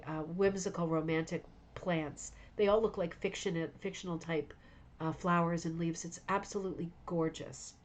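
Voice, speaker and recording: A woman hosting a rug hooking club stream, tired and losing her voice, talking enthusiastically and rambling while chatting with viewers by name. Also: lowish voice. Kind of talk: live stream show-and-tell chat.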